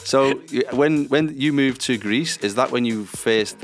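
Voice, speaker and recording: A man's voice: medium pitch, measured pace. A man hosting a casual interview, chatty, rephrasing his questions as he goes. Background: music.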